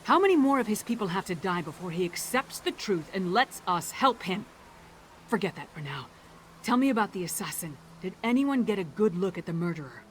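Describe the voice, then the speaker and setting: An actress playing a brisk, blunt, commanding female investigator in a fantasy video game, with a dry and decisive manner. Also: mid-range voice, big pitch swings, a little hiss or hum, close to an everyday voice. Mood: frustrated. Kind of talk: video game character acting.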